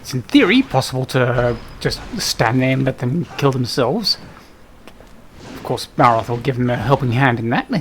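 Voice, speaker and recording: A man's voice: medium pitch, animated, moderate pace, a little hiss or hum. A man giving casual, unscripted gameplay commentary, musing to himself as he plays. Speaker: gameplay video host. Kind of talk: casual let's play commentary.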